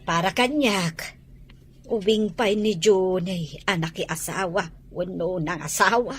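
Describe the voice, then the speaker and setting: A woman's voice: on the low side, measured pace, a little hiss or hum, lightly acted. A woman playing a practical middle-aged mother of a married son in an audio comedy drama. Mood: dismissive.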